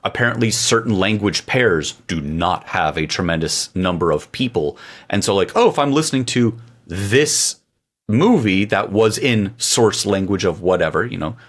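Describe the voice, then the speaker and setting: A man's voice: fairly low pitch, lively intonation, moderate pace, breathy edge. A man co-hosting a tech podcast, chatting casually and joking playfully. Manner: playful, jokey, tangents. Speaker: podcast co-host.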